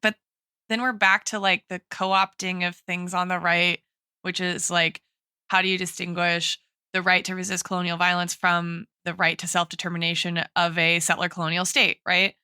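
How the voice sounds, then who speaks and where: A woman's voice: lowish voice, flat delivery, normal speed, sharp tone, clean tone, dry recording, studio quality. A woman co-hosting a podcast in casual intellectual discussion, mixing academic terms with informal, "like"-peppered talk. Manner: informal, academic, frequent "like" and "right?". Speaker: podcast co-host and guest.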